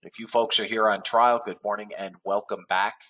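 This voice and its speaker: A man's voice: fairly low pitch, level tone, moderate pace, fairly bright tone. A man hosting a live trading webinar, sounding nasal from a head cold and talking in a rambling way with lots of restarts. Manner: rambling, frequent uh fillers and restarts. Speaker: trading room host.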